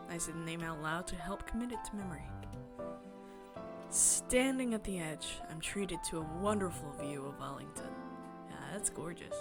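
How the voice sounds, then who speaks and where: A woman game streamer expressively reading a visual novel aloud, breaking into excited, chatty asides. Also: on the low side. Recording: music behind the voice. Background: game music.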